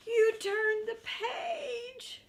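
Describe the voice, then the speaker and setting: A woman's voice: extremely high pitch, pitched above the performer's own voice, heavily acted. A woman voicing a lovable, frightened furry monster from a storybook, pleading emphatically and theatrically. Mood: alarmed, upset.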